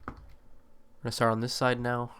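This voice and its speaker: A man's voice: mid-range voice, monotone, moderate pace, fairly bright tone, a little hiss or hum. A man with a slightly congested, allergy-stuffed voice, giving casual, rambling commentary over a city-building game with many fillers and self-corrections. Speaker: gameplay video creator.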